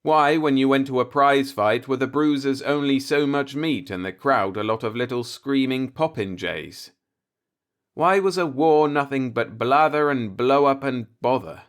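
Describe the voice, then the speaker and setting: A man's voice: medium pitch, a little slow, clean tone, lightly acted. A man voicing a cynical, musing older working-class man, a self-taught professor, in a folksy, rhetorical audiobook monologue. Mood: questioning, exasperated.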